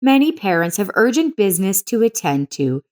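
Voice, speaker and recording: A woman's voice: medium pitch, big pitch swings, moderate pace, darkish tone, natural voice. A woman voicing a pleasant, smiling young children's club worker in a story, friendly and helpful. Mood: pleasant, reassuring.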